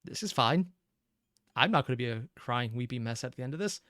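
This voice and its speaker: A man's voice: mid-range voice, lively intonation, a little fast, fairly bright tone, no room sound, studio quality. A man hosting a reaction video, giving casual running commentary in a musing, questioning, wry way.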